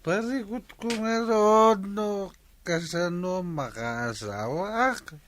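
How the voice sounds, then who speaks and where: Man acting a carefree young village bachelor, lively and high-pitched. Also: slow, no echo, full character voice. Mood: defensive. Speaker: actor. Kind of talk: comedy radio drama.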